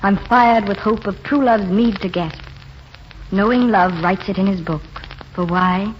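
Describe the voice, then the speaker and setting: A woman acts a wistful young desert waitress in a vintage radio drama. She talks plainly and earnestly, with a longing, dreamy temper and a mid-pitched voice. The recording is dull and slightly noisy, like an old 1940s broadcast. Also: natural voice. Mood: reverent, reciting. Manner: plainspoken and earnest, slower when reciting verse.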